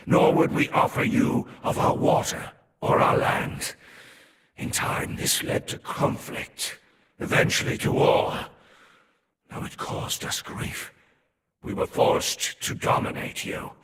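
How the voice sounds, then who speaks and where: Man voicing a slow, whispering ancient serpent-man overlord. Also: lightly acted. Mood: grave, contemptuous. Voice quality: whispery, voiceless. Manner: solemn, imperious, archaic phrasing. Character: ancient, haughty, solemn male serpent-man overlord addressing slaves. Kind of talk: scripted game lore narration. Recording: echoing, faint background noise.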